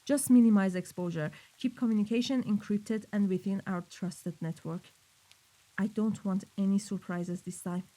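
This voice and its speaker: A woman's voice: mid-range voice, steady tone, normal speed, dark tone, natural voice. A woman playing an exacting, impatient mafia boss in an audio roleplay, giving clipped, authoritative orders. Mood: cold, commanding. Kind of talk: scripted audio roleplay acting.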